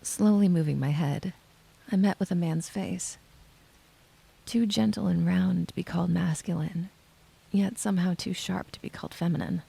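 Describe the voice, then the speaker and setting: A woman acts out a lonely young woman narrating an audio drama in the first person. Her soft voice is heavy with fry and mellow in tone, with a husky, breathy edge and a hushed, introspective manner. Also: lowish voice, steady tone, moderate pace, heavily acted, low-quality recording. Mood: wondering, introspective. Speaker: narrator and lead character.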